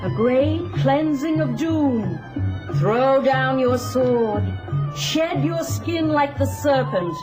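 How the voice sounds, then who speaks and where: Serpent priestess: commanding, prophetic, deliberate pace, declamatory audio-drama style, faint music bed. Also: female voice, sits high, lively intonation. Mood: commanding, ominous. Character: beautiful, commanding serpent-cult priestess preaching doom. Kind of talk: full-cast audio drama acting.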